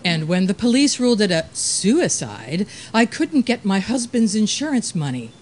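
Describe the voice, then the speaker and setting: A woman's voice: mid-range voice, big pitch swings, moderate pace, darkish tone. A woman playing a scheming, exasperated widow and murderess in a comic old-time radio play.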